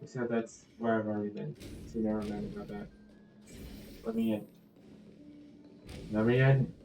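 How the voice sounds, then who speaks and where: A man's voice: fairly low pitch, steady tone, normal speed, dark tone. A man giving casual, offhand gameplay commentary, thinking aloud as he plays. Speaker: gaming video host. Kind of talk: casual game playthrough commentary. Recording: echoey, faulty audio over game music.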